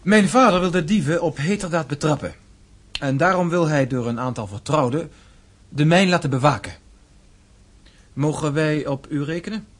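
An actor playing a polite, earnest young gentleman in a radio drama, speaking formally and persuasively. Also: a little high, moderate pace, lightly acted. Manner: courteous, formal.

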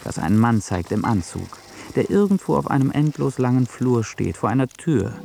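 A man's voice: medium pitch, lively intonation, normal speed, vocal fry, dark tone. A man narrating a scripted radio drama.

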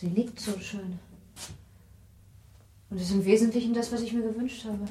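An actress playing a lively, impulsive young married woman in a literary radio drama. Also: medium pitch, normal speed, close to an everyday voice. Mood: eager. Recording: slightly distant, noisy radio play recording.

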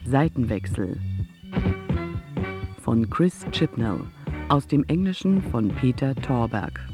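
A man reading radio drama credits as an announcer in a measured, formal way. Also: medium pitch, sing-song. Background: music.

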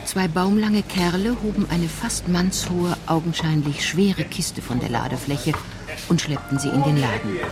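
A woman's voice: low-pitched, moderate pace, noisy background. Composed woman narrating a crime story.

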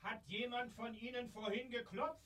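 A man acting in a radio drama as a fussy, suspicious elderly caller, grumbling querulously, heard quietly from a distance. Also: very high voice, fairly even intonation, moderate pace. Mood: querulous, puzzled.

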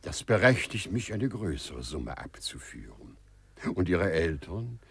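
Low, husky officious gentleman. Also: very animated, a little slow, no echo, exaggerated voice. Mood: brisk, businesslike. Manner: formal, clipped, pedantic. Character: brisk, officious male thief dispensing money like a bureaucrat. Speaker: radio drama actor. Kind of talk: 1950s German radio play acting.

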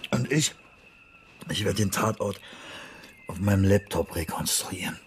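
An actor playing a preoccupied, educated forensic investigator in a naturalistic radio drama. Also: male voice, sits low, measured pace, a little hiss or hum. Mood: questioning, businesslike.

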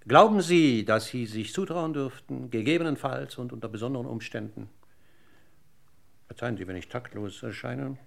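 An actor playing a courteous, verbose police inspector in a radio crime drama, speaking formally and in a roundabout, deliberate way. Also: male voice, medium pitch, gravelly, natural voice. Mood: probing, polite.

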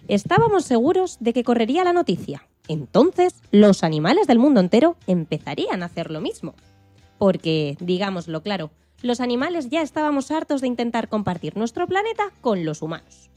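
Woman as excitable hen narrator, children's radio play, very expressive swooping delivery, dry sound, music behind. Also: mid-range voice, no room sound. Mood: conspiratorial, animated. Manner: expressive, dramatic, playful emphasis. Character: excitable farm hen astronaut telling her own story.

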